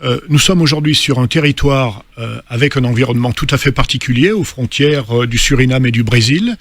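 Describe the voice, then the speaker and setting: A man speaking as a studio guest on a radio debate, measured and full of hesitations. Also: mid-range voice, fairly bright tone, no room sound.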